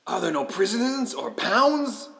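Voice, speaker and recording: A man's voice: sits high, sing-song, slow, vocal fry, fairly bright tone, pitched above the performer's own voice, full character voice. A man voicing a jovial, boastful giant Christmas ghost who declaims and slips into slang, in a storybook drama. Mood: chiding. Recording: long hall reverb.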